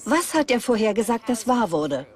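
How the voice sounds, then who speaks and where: A woman's voice: fairly high pitch, very animated, moderate pace. A woman voicing a logical, sceptical scientist in a documentary dub. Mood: sober, concerned. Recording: music under the voice.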